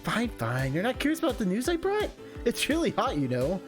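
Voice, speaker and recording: A teasing, theatrical anime man, as a streamer acts him out, playful and far too familiar. The voice lifts high and slides around freely, with music behind this visual novel reading. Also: huge pitch swings, fairly quick. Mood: playful, pouting.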